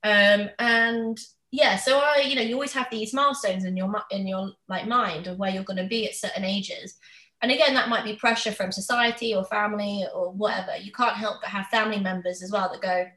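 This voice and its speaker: A woman's voice: mid-range voice, fairly even intonation, a little fast, pushed and bright. A woman, talking candidly and chattily in a casual conversation. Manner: chatty, candid, acts out others' questions. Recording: roomy, echoey sound.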